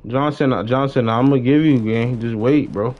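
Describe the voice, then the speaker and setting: A man's voice: medium pitch, moderate pace. Young American man, slangy, slightly muffled mic. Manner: slangy, repeats short phrases. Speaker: live streamer. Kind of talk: casual chat while gaming. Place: indoors, gaming livestream setup with webcam.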